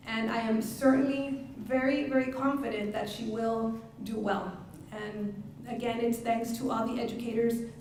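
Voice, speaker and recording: A middle-aged woman speaking publicly as a parent and educator, earnest and emphatic, with halting phrasing. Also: on the high side, darkish tone. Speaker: parent and educator giving a testimonial speech. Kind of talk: public speech at a press conference. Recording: very echoey room, distant mic, faint noise.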